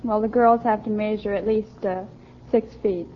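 A woman's voice: mid-range voice, fairly even intonation, normal speed. A young American woman from California answering a host's questions as a quiz show contestant. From California, United States. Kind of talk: unscripted interview answers. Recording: dull, slightly noisy old radio recording.

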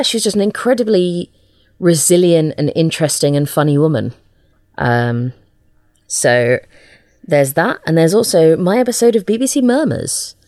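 A woman talking casually as an interview guest, halting now and then as she searches for her words. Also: low-pitched, a little mellow, no echo, clean studio sound. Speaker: interview guest, audio drama writer.

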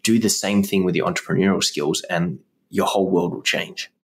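A man's voice: low voice, steady tone, fairly quick, gravelly, slightly rough. A man hosting a podcast and giving motivational advice in casual interview talk. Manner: motivational, coaching tone. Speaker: podcast host and guitar teacher.

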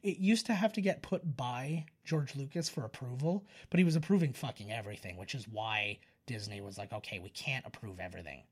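Male podcast host, mid-pitched voice, casual and rambling, swears freely, enthusiastic storyteller of fan lore. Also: a little fast, few pauses, breathy edge. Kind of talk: casual chat, recounting film lore.

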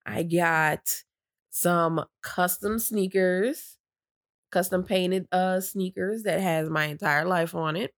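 A woman's voice: fairly low pitch, takes it slowly, dry recording, studio quality. A woman co-hosting a casual chat, telling a story with a stuffy nose and catching her breath between rambling sentences. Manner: chatty, downplays excitement, rambling with restarts. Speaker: podcast co-host.

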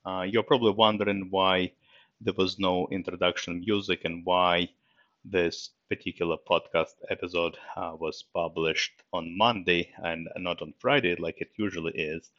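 A middle-aged man from Russia with a Russian accent, hosting a solo podcast episode, speaking haltingly with many fillers in a subdued way. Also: sits low, steady tone, a bit bright, dry recording, highs cut off. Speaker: podcast host.

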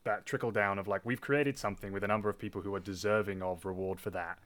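Lowish guy hosting a chatty podcast, informal, jokey about himself. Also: steady tone, quick pace, fairly bright tone, no echo. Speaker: podcast host. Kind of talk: casual chat.

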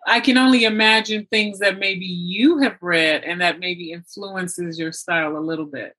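A woman's voice: on the low side, steady tone, normal speed, sharp tone. A woman interviewing in a casual, conversational way, encouraging and affirming the person she talks with.

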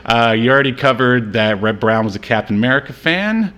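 A man hosting a podcast in casual movie-review banter, talking in a halting, joking, conversational way. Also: medium pitch, lively intonation, fairly bright tone. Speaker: podcast host.

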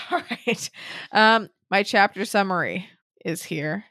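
A woman's voice: medium pitch, slow, pushed and bright, no room sound. A young woman co-hosting a casual podcast, talking loosely with laughs and swearing, her voice still a little off from a cold. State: recovering from a cold, voice a bit off. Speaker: podcast co-host. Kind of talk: casual chat.

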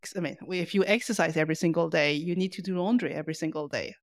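A woman's voice: fairly low pitch, very fast, dry recording, studio quality. A woman co-hosting a podcast in casual conversation, explaining things hesitantly and often repeating words as she goes. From lives in Paris. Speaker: podcast co-host.

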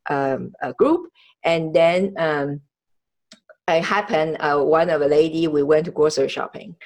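A woman from Taiwan hosting a podcast in a conversational, personal way, halting as she searches for words in non-native English. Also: sits low, a little mellow. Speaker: podcast host. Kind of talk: conversational interview, personal storytelling.

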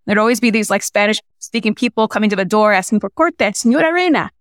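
A young woman filmmaker talking casually in an interview, reflective and halting, with many restarts. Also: medium pitch, lively intonation, no room sound, clean studio sound. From United States, small-town Illinois. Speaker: filmmaker guest.

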